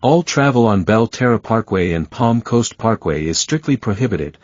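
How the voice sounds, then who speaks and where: Man, emergency alert announcer, low voice, formal measured reading, dull lo-fi dry audio. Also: dry recording. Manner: formal, even, measured delivery. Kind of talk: scripted emergency bulletin reading.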